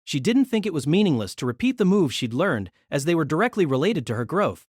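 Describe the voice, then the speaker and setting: A narrator reading a novel aloud in an expressive storytelling manner. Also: mid-range voice, fast, husky, dry recording, clean studio sound.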